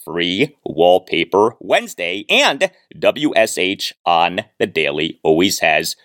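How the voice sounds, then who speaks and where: A man hosting a sports podcast, reading out promotion in a deliberate, list-like way with repeated phrases. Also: low voice, sing-song, fairly bright tone, no room sound, clean studio sound.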